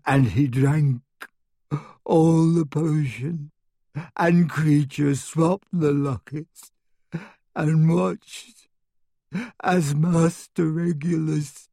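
Slow, tearful old house-elf. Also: male voice, fairly high pitch, a little mellow, no room sound, pitched above the performer's own voice. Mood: tearful, grieving. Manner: sobbing, halting, stammering, speaks of himself in third person. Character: frightened old male house-elf servant, abject and devoted. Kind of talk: audiobook character acting.

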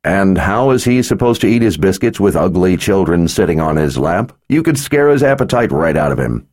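Man doing a gruff, drawling big warrior. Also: sits low, big pitch swings, moderate pace, a little mellow, pitched above the performer's own voice. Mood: teasing. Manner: drawling, gruff, dryly teasing. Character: big burly male warrior, gruff protective father.

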